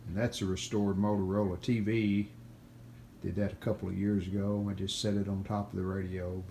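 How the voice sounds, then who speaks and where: A man giving casual, plainspoken hands-on narration as the host of a repair video, unscripted and pausing mid-sentence. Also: deep voice, flat delivery, mellow. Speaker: host of a radio restoration video channel. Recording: clear background noise, room ambience.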